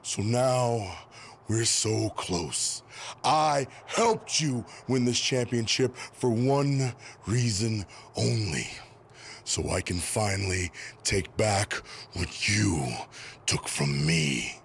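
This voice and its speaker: Obsessed, slow, gravelly villain. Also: male voice, lowish voice, animated, slight background noise, heavily acted. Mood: cold menace. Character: obsessed, vengeful male villain, menacing and bitter. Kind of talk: video game cutscene acting.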